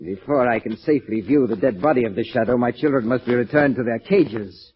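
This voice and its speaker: A man's voice: mid-range voice, brisk, lightly acted. Man playing a gloating mad scientist, old radio. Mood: cruel, commanding. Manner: pompous, theatrical, relishing menace. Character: gloating mad male scientist villain, sadistic and megalomaniac. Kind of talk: 1940s radio thriller acting. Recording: dull lo-fi old-time radio recording.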